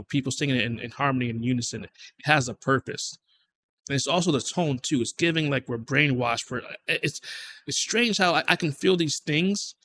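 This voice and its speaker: A man hosting a reaction video, commenting casually on music and thinking aloud in halting fragments. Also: medium pitch, fairly bright tone.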